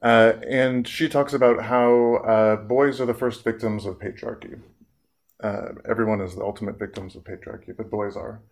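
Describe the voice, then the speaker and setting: Low, gravelly man giving a talk. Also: steady tone. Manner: earnest, measured, with frequent fillers and pauses. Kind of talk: reflective public talk.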